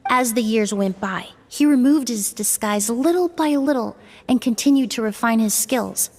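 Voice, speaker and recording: An actress playing a composed, analytical young woman investigator in a fantasy video game, speaking in a formal, measured, articulate way. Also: fairly high pitch. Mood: grave, explanatory. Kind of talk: scripted video game voice acting.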